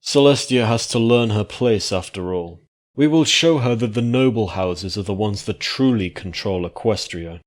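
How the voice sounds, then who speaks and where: A man's voice: fairly low pitch, moderate pace, natural voice. Man as a pompous, haughty pony prince. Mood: smug. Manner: haughty, declamatory, scornful. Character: pompous, scheming young male unicorn prince. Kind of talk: dramatic fan fiction reading.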